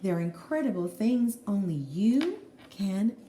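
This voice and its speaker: A woman teacher reading a children's picture book aloud in an expressive, deliberate way, with explanatory asides to young listeners. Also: medium pitch. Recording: roomy echo, faint background noise.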